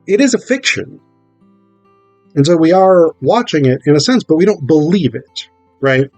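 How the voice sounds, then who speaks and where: A man getting over a cold gives an informal, analytical talk about theatre, thinking aloud in a halting way with rhetorical check-ins. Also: fairly high pitch, lively intonation, a little mellow, dry recording, soft background music. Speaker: theatre performer explaining a play.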